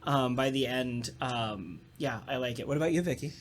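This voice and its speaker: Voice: medium pitch, moderate pace, slight background noise. Casual, enthusiastic podcast co-host, mid-range voice. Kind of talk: casual round-table chat.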